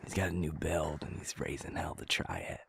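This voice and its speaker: A man acting a young guy in an intimate audio roleplay, with a low, gravelly, husky voice and casual, sweary, naturalistic delivery. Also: very animated, a bit bright, in a whisper, exaggerated voice. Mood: teasing, playful. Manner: casual, sweary, halting, slangy banter.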